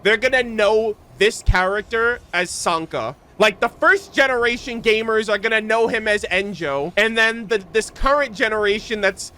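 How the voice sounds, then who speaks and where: A man giving live game reaction commentary in casual, animated, slangy talk as a streamer. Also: high-pitched.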